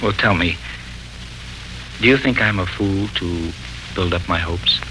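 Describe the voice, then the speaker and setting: Earnest, bright-voiced lovestruck radio suitor. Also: male voice, deep voice, a little slow. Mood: anxious, hopeful. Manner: florid, hesitant, earnest. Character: hearty, anxious, lovestruck male suitor. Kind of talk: 1940s radio serial acting. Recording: noisy, bright 1940s radio recording.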